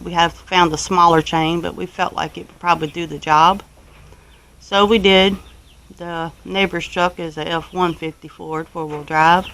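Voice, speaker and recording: A woman's voice: fairly low pitch, fairly bright tone, poor audio. Folksy Southern American woman telling a story. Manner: folksy, rambling, with country turns of phrase. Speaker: homestead vlogger telling a personal story. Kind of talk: casual spoken storytelling to viewers.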